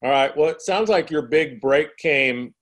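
A man's voice: fairly high pitch, unhurried. A man hosting an interview, asking questions and reflecting on the guest's answers at length. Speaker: interview host.

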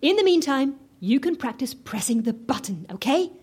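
A brisk female office assistant in a comedy radio play. Her voice is high and lively in its melody, and she coaxes patiently with a no-nonsense, gently exasperated air. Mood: brisk, coaxing. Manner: brisk, coaxing, matter-of-fact. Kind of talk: audio drama comedy acting.

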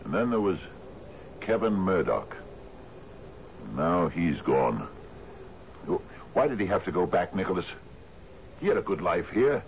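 An actor playing an aging, gruff sea captain in a 1970s radio drama, commanding yet lonely, halting in his speech. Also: deep voice, very animated, exaggerated voice. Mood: wistful, lonely. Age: older. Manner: gruff, halting, swings from muttering to shouting. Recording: dull, noisy 1970s radio broadcast.

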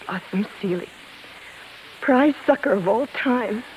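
High-voiced, brassy, emotional star wife. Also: female voice, slow pace. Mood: wounded. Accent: American. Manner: emotional, slangy. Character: spirited, wounded star wife, brassy and pleading. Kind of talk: 1950s radio drama acting. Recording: muffled, hissy 1950s radio broadcast.

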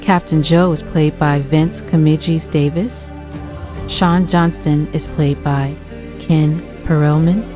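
A woman reading the closing credits of an audio drama clearly and briskly. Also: low voice, fairly even intonation, music in the background. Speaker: credits announcer. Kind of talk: scripted announcement.

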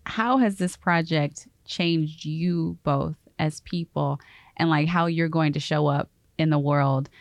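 Lowish-voiced female interviewer. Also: steady tone, slow pace, dry recording. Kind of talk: conversational interview.

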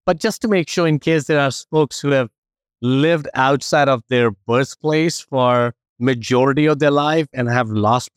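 A man's voice: mid-range voice, clean tone, no room sound, clean studio sound. A man with an Indian accent hosting a podcast interview, friendly and rambling with many restarts. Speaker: podcast host. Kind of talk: casual interview chat.

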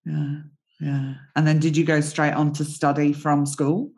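A woman's voice: low-pitched, flat delivery, measured pace, darkish tone. A woman hosting a podcast interview, introducing her guest and asking encouraging questions with frequent affirming yeahs. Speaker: podcast host.

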